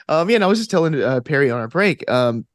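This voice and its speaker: A man's voice: on the high side, no room sound. Enthusiastic geeky man chatting, dry close sound. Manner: enthusiastic, geeky, rambling tangents. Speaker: podcast guest, actor and tabletop gamer. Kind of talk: casual interview chat.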